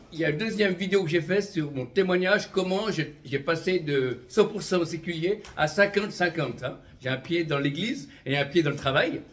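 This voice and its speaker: A man's voice: on the high side, fairly bright tone. Fervent middle-aged man giving testimony. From Vietnam. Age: 50s. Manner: fervent, peppers speech with amen and voilà, light chuckles. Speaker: itinerant prophetic minister. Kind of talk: religious personal testimony.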